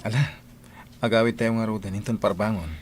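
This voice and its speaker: A man's voice: fairly low pitch, steady tone, a little fast, a little mellow, a little hiss or hum, lightly acted. A man playing an easygoing, generous young master of a household in a radio drama. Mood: teasing, agreeable. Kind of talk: Ilocano radio drama acting.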